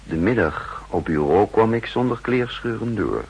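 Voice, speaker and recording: An actor playing a brooding spy chief who narrates his own story gravely and deliberately in a 1960s radio play, with a gravelly voice. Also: lowish voice, animated, darkish tone, full character voice. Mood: measured, wry. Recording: 1960s radio recording, faint hum and noise.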